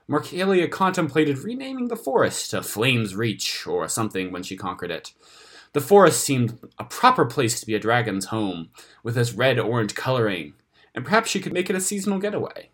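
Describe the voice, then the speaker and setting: A man reading a scripted fantasy story aloud in a dramatic, expressive storytelling manner. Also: medium pitch, breathy edge. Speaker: story narrator reading own fantasy tale.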